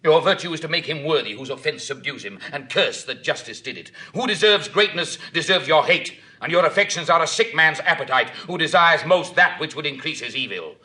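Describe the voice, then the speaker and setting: A man's voice: on the high side, animated, fairly quick, few pauses, pushed and bright. An actor playing a proud, contemptuous Roman warrior nobleman, scathing and declamatory in a Shakespearean drama. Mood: scornful fury. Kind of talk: classical Shakespearean stage acting.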